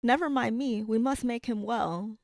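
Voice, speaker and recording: A woman's voice: on the high side, moderate pace, vocal fry, no room sound, studio quality. A woman playing an eager, headstrong young Amazon princess in a 1940s-style radio serial, earnest and urgent. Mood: earnest, pleading.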